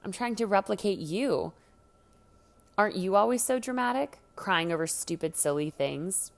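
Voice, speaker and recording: A woman reader acts out a teenage boy from a young adult story. He is theatrical and emotional, with a creaky edge to the voice. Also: mid-range voice, lively intonation, normal speed, pitched above the performer's own voice. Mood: teasing. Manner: theatrical, mock-wounded. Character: teenage boy, dramatic, teasing, emotional friend.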